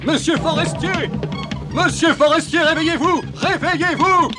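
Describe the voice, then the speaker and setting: A woman voicing an urgent, excitable servant in a French-dubbed cartoon. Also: very high-pitched, unhurried. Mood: urgent, alarmed. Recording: music behind the voice.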